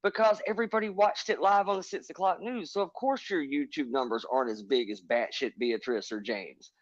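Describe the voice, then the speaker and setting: A man's voice: on the high side, a little fast, dry recording. Man ranting hard on a lo-fi stream. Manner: emphatic, profane, lecturing rants. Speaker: livestream panelist. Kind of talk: heated panel chat. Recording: dull lo-fi stream audio.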